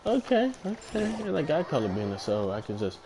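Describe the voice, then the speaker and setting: A man gives casual commentary on a game stream. His pitch is average, and he drops murmured reactions between bits of talk, with game audio clearly audible behind him. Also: huge pitch swings, brisk, warm tone, a little hiss or hum, rough recording. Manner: murmured reactions, reads game text aloud. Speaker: live streamer. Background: video game audio.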